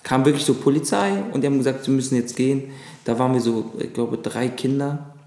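A man in his thirties from Lebanon, whose first language is Arabic, recalling his childhood as an interview guest with frequent pauses and fillers. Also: medium pitch, darkish tone. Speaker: guest, actor. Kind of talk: casual interview chat. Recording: echoey room.

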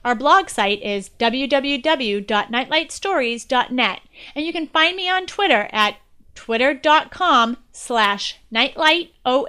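A woman's voice: high voice, sharp tone, clean tone. A woman guest-hosting an educational podcast, reading prepared material in a presentational way. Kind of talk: scripted educational podcast narration.

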